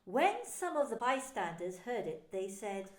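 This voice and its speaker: A woman pastor narrating a scripture story in a slow, solemn reading. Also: mid-range voice, very animated, roomy sound.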